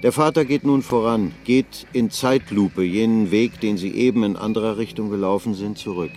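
A man narrating a literary radio drama in a measured, unhurried way. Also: lowish voice, darkish tone, faint music behind.

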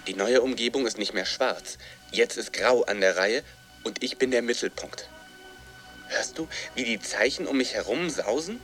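A man's voice: medium pitch, normal speed, fairly bright tone, soft background music, lightly acted. An actor playing a desperate man trapped inside a computer game, pleading urgently in a radio drama.

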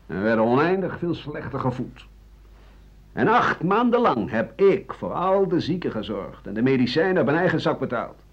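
An aggrieved older doctor, bitter and defiant, in a radio play monologue. His husky voice swings widely between low and heated tones. The recording is a dull old radio broadcast. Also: heavily acted. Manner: aggrieved, emphatic monologue with scornful chuckles. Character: embittered older French doctor-writer accused of treason, defiant.

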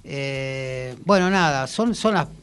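A man with a Rioplatense Argentine accent talking casually and reflectively in a radio interview, with halting, colloquial phrasing. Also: mid-range voice, lively intonation, fairly bright tone, clean tone. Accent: Rioplatense Argentine Spanish. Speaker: musician and songwriter guest.

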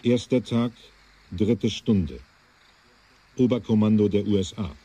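A man's voice: fairly low pitch, unhurried, warm tone, breathy edge. A man acting in a radio play as an impersonal announcer giving terse headings. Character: clipped, impersonal male announcer of report sources.

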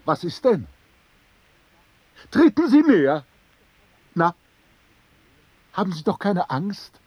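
A man's voice: sits high, sing-song, slow pace, slightly rough, no room sound, highs cut off, heavily acted. A man playing a taunting, pompous bully who leads a rowdy gang, lecturing with mock politeness in a radio play. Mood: mocking false politeness. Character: jeering, sadistic antisemitic bully, leader of a rowdy men's group. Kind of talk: German radio play acting.

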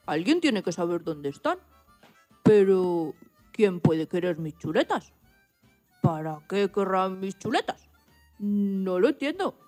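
A woman's voice: medium pitch, sing-song, slow, long pauses, dark tone, faint music behind. A woman voicing a small, anxious and polite piglet in a children's radio play, exclaiming and muttering questions to itself. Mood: indignant, wondering. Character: small anxious, polite young piglet searching for lost chops.

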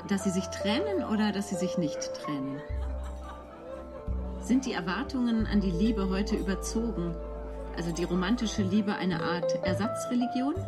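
A woman posing scripted questions to an expert as an interviewer. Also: mid-range voice. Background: clear music.

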